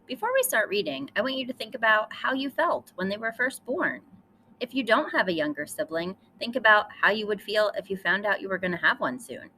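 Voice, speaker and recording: Lady teacher doing a kids book read aloud, really lively expressive voice, bit of noise in back. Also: mid-range voice, fairly quick, low-quality recording. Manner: addresses young listeners directly, emphatic storytelling. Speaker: third grade teacher reading aloud.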